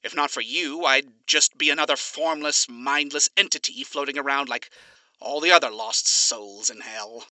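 A reader voicing a smug, courtly male radio demon who speaks in formal, gentlemanly phrasing. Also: mid-range voice, normal speed, no room sound, rough recording. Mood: grateful.

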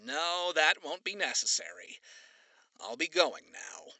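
Man voicing a smug bright-toned radio demon. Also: fairly high pitch, big pitch swings, slow, no room sound, pitched above the performer's own voice, exaggerated voice. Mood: weary. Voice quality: bright, theatrical, condescending drawl. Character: smug male radio demon, sly and mocking.

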